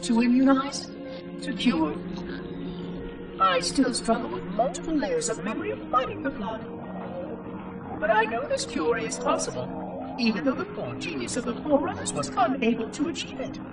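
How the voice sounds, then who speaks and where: A man's voice: very high voice, sing-song, moderate pace, long pauses, heavily acted. A man voicing an ancient, fussy, curious robotic monitor in a video game, with precise, formal, theatrical delivery and a synthetic processed sound. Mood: earnest, grave. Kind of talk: video game cutscene log narration. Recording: distant, echoing, music underneath. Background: music.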